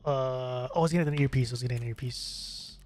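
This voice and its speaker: A man's voice: medium pitch, fast talker, a little mellow. A young man from the Philippines, streaming a game and chatting casually with viewers, rambling with many fillers and restarts. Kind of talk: casual gameplay stream chat. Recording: faint music behind voice.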